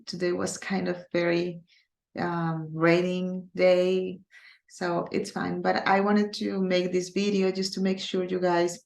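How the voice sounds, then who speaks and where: Slow Colombian-accented woman teacher, gravelly. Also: lowish voice, roomy sound. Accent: Colombian Spanish. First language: Spanish. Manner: hesitant, many fillers, speaks slowly on purpose. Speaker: Spanish teacher. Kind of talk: recorded online class, casual.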